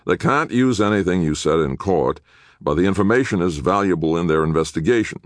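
A man's voice: low voice, animated, fairly quick, no echo, clean studio sound, pitched above the performer's own voice. A man voicing a youngish public defender in an audiobook, cold, impatient and disapproving. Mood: stern. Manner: curt, disapproving, accusatory.

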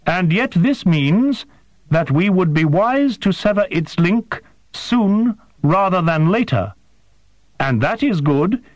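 A man's voice: on the high side, dry recording, pitched above the performer's own voice. A man voicing a female alien interpreter who speaks in a stilted, overly formal way. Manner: stiff, overly formal phrasing.

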